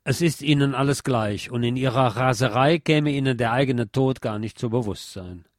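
A man reads literary prose aloud in an expressive, emphatic way, at a middle pitch. The recording is dry and close, with no room around the voice. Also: normal speed, dry recording. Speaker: reader of a literary text. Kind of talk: dramatic literary reading.

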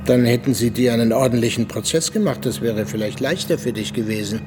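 A man's voice: mid-range voice, brisk, over music, lightly acted. An actor playing a disillusioned former assassin in a radio drama, sober and probing as he questions his mentor. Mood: reproachful.

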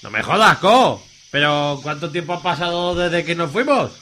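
A man with an Aragonese accent playing a drunk, excitable, foul-mouthed young man in a comic radio serial, slangy and blustering. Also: fairly high pitch, normal speed. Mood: confused. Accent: Aragonese Spanish. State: acting drunk.

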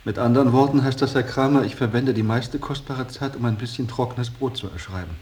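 An actor playing a discouraged former painter reduced to hack writing, respectful and plaintive, in a radio play. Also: male voice, medium pitch, brisk, a little mellow, natural voice. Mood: bitter, weary. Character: discouraged, dutiful former painter, struggling newspaper writer, younger man. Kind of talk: German radio play acting. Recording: distant, slightly hissy radio drama recording.

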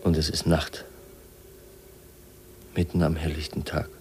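A man's voice: rumbling low, flat delivery, a little slow. An actor playing an earnest, bitterly disillusioned young man in a 1950s German radio drama. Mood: desolate, subdued. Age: young adult. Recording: 1950s radio recording, faint noise, clicks.

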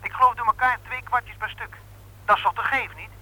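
An actor playing a breezy, persuasive young brother-in-law pitching a deal in a 1960s radio drama. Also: male voice, brisk, bright, forceful tone, exaggerated voice, poor audio. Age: young adult. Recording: old radio play recording.

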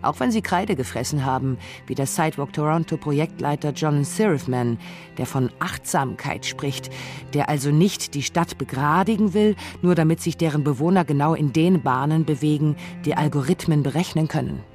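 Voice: medium pitch, moderate pace, darkish tone, soft background music, lightly acted. A narrator with reading a scripted, essayistic radio feature in a pointed, literary manner.

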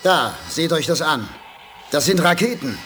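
An actor in a 1980s German radio play playing an excitable, blunt spaceman, delivered with emphatic, exclamatory emotion. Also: a little high, a little slow, noisy background. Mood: alarmed. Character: excitable, impulsive male spaceman, loyal and blunt.

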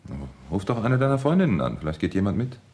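An actor playing an evasive, placating husband with a guilty secret in a radio crime drama, by turns soothing and impatient. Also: male voice, fairly low pitch, sing-song, fast talker, darkish tone. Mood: coaxing, evasive.

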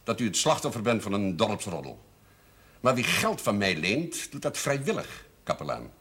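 Pompous old notary, swooping intonation. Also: male voice, on the low side, very animated, normal speed, a bit bright. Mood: smooth, condescending. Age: older, over 50. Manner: pompous, formal, ironic turns of phrase. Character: pompous, sardonic, shrewd old village notary and moneylender. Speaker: actor in a television drama. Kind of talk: theatrical television drama dialogue.

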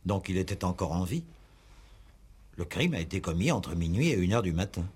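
A very deep, husky-voiced magistrate in an old French radio police drama. He is troubled and puzzled, thinking aloud in hesitant questions. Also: very animated, moderate pace, darkish tone, full character voice. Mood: probing. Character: troubled, puzzled investigating judge. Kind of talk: 1960s French radio play acting.

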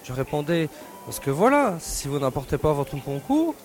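A man plays a simple-minded village policeman in an audio drama. He is deferential and earnest, with a slightly high, very animated delivery over faint music. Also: normal speed. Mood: earnest, naive. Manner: deferential, repetitive, naive.

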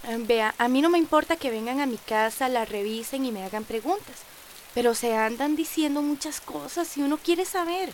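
A suspicious, worried householder in a radio soap played by a woman. Her voice is high and anxious over a faint rainy hiss. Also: normal speed, lightly acted. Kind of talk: radio soap opera acting.

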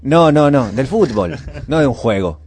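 A man's voice: medium pitch, expressive, a little slow, darkish tone. A man with a Buenos Aires accent in casual radio chat as co-host, blunt, informal and questioning. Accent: Buenos Aires Argentine.

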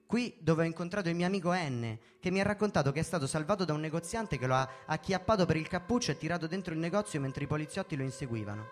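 A man reading a first-person story in a measured, theatrical way, as a dramatic narrative performance. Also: fairly high pitch, animated. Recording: music behind the voice. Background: background music.

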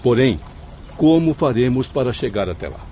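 A man's voice: medium pitch, big pitch swings, moderate pace, warm tone, a little hiss or hum. An actor playing a troubled, weary male spirit who questions things in formal, theatrical radio drama phrasing. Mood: questioning. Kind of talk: radio soap acting.

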